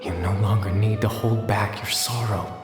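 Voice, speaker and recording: Guy doing a low soothing mystery voice, super slow, echoey, music behind. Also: steady tone, lightly acted. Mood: solemn. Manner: slow, hushed, poetic recitation. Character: mysterious solemn male storyteller, soothing. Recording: echoey reverberant voice.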